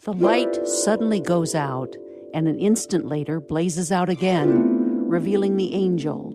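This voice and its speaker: A woman's voice: fairly low pitch, unhurried, loud music behind the voice. A woman reading scripted narration for a radio play, telling stage action as the narrator.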